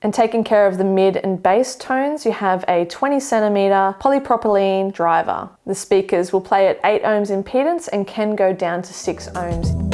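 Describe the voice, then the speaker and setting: A young woman seated in a living room, giving a measured, detailed review of speakers to camera. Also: mid-range voice, a little mellow. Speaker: audio equipment reviewer. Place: living room with hi-fi shelves, indoors.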